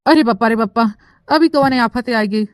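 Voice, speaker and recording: A woman's voice: on the high side, moderate pace, no echo, lightly acted. A woman voicing a scheming, grumbling young village bride with an eastern Uttar Pradesh accent in a comic cartoon. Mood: panicked, lamenting. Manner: melodramatic, grumbling. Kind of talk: comic cartoon story dialogue in rustic dialect.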